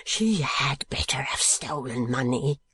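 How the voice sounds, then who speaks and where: A shrill, frantic old miser wails and gasps in ruin,. His voice leaps high and cracks into hushed whispers. The sound is close and dry. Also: lively intonation, measured pace, no echo. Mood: frantic, wailing. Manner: screeching, whispering in panic.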